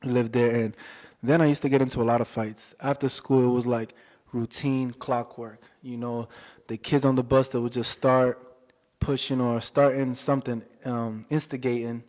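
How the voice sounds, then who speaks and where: A young man being interviewed about his boxing life, talking in a relaxed, halting way with many fillers. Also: mid-range voice. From Worcester, Massachusetts area. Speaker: boxer, interview guest. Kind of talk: casual interview chat. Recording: dull lo-fi audio in a reverberant room.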